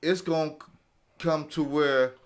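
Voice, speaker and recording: A man's voice: fairly high pitch, fairly even intonation, unhurried. An American man speaking forthrightly and colloquially in a casual round-table discussion. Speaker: podcast panelist.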